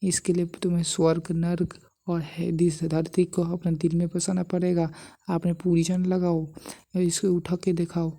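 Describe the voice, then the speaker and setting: A woman's voice: lowish voice, completely flat delivery, moderate pace, warm tone. A woman voicing a stern, boastful old male martial arts master who scolds and commands in a story recap. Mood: solemn.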